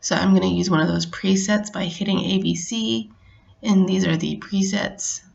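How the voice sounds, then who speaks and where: A middle-aged woman narrating a casual how-to as a craft tutorial host, explaining step by step in a relaxed way. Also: medium pitch, normal speed, vocal fry, dull audio.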